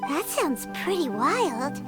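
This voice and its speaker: A woman voicing a tiny, excitable childlike fairy companion in an anime video game, squeaky and exclamatory. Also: slow, full character voice. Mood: curious, concerned. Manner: exclamatory, refers to herself by name. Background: game music.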